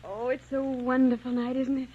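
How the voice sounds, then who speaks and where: A woman's voice: high voice, little pitch movement, moderate pace, slight background noise, lightly acted. An actress playing a romantic, restless young society lady in an old-time radio drama, dreamy and playful. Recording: dull old radio recording with music.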